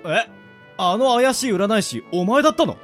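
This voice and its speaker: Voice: mid-range voice, animated, moderate pace, faint music behind, lightly acted. An actor playing a bewildered, grumbling young person dragged into a fantasy world in a radio drama, blunt and full of muttered, exasperated retorts. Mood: confused. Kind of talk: Japanese radio drama acting.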